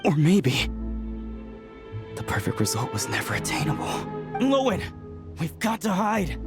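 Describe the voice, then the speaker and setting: Anxious, animated accomplice. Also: male voice, fairly high pitch, a little slow, music in the background. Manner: fretful, questioning. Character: anxious, hesitant accomplice experimenter. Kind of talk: video game voice acting.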